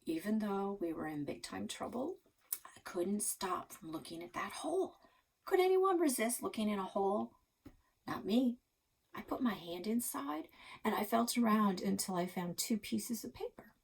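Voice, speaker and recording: A woman reading a children's story aloud with dramatic, expressive delivery, as a library reader. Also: medium pitch, moderate pace, creaky, slightly rough.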